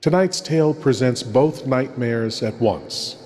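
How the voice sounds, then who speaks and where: A man playing a suave, sinister horror host, intimate and menacing, delivering a dramatic old-time radio monologue with long pauses. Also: mid-range voice, a little mellow, natural voice. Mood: ominous, hushed. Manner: slow, dramatic, pausing between phrases. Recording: echoey long reverb, background hiss.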